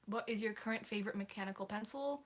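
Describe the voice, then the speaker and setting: A woman's voice: medium pitch, fast. A teenage girl hosting a casual livestream, answering viewer questions in a chatty, apologetic way with many hesitations. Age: teens.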